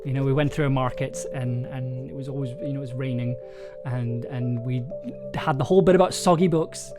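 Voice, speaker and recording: A man's voice: medium pitch, fairly even intonation, a little fast. A man livestreaming in a casual, hesitant way, thinking aloud and reading from his own story draft. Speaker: streamer and audio drama writer. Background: chill soundtrack music.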